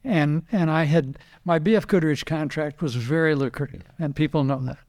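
A man's voice: on the high side, normal speed. Older man telling racing stories. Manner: animated anecdotes, quoting others, repeats words. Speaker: guest, retired racing driver. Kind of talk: casual storytelling interview.

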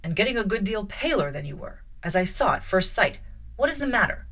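Female narrator as an imperious middle-aged gentleman; firm, probing, commanding. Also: medium pitch, sing-song, fairly quick. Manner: imperious, probing, louder than her narration.

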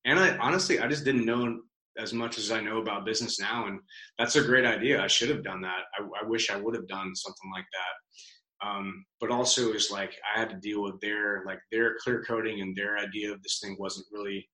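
A man's voice: fairly low pitch, fairly even intonation, fairly quick, pushed and bright. A man guesting on a podcast interview, talking casually with plenty of fillers and swearing. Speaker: guest, business founder. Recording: roomy, echoey sound.

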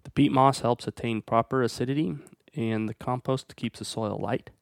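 A man with a stuffed-up voice from a cold, hosting a plant nursery's weekly feature and reading plant guide notes in a steady, informative way. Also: medium pitch, normal speed, vocal fry, dry recording, studio quality. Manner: steady, informative, reads from a script.

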